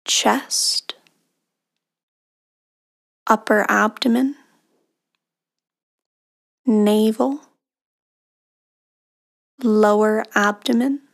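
Very slow, hushed woman guiding meditation. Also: medium pitch, fairly even intonation. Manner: hushed, breathy, soothing delivery with long pauses. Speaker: meditation guide, podcast host. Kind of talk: guided meditation narration.

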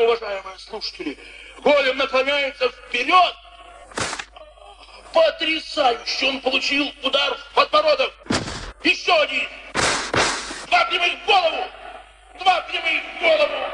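An actor playing an excited, dramatic boxing commentator in a Soviet radio play. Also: male voice, extremely high pitch, exaggerated voice. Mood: thrilled. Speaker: sports commentator. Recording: noisy, echoey Soviet radio recording.

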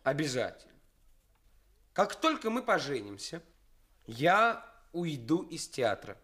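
An actor playing a brazen young stage actor who poses as a cynical swindler fiancé in a Soviet radio play, pompous and deliberate with emphatic pauses. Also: male voice, a little high, very animated, a bit bright, full character voice. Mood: brazen, confiding. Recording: studio radio play with music.

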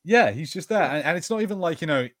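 A man's voice: a little high, animated, fast, a bit bright, dry recording. A man co-hosting a casual watch-party commentary, chatting informally about a film. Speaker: podcast co-host.

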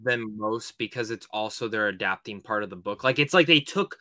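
A man's voice: fairly low pitch, lively intonation, a little fast, crowd noise. A man co-hosting a podcast, giving emphatic opinions in casual movie talk. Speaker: podcast co-host.

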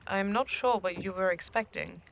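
A woman playing a calm otherworldly guardian and guide of the dead in a fantasy audio drama, with formal, measured phrasing. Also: mid-range voice, slight background noise, lightly acted, poor audio. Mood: calm, matter-of-fact. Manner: measured, formal, avoids contractions.

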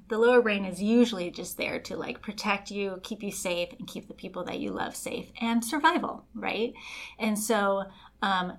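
A woman's voice: medium pitch, vocal fry. A young woman raised in Los Angeles, co-hosting a podcast as a life coach and explaining ideas in casual chat, full of fillers. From Los Angeles, raised by Colombian family. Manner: chatty, many fillers like "like" and "kinda".

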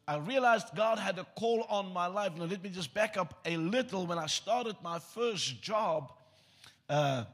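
A man's voice: high-pitched, a bit bright. A man from South Africa whose first language is Afrikaans, preaching a sermon with joking asides and reading scripture aloud. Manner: joking, self-deprecating asides.

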